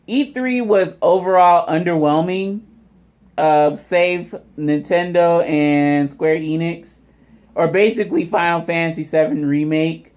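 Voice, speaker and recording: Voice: mid-range voice, unhurried, darkish tone. A vlog host chatting casually and informally to viewers. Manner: informal, addresses viewers as y'all. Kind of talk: casual unboxing vlog commentary.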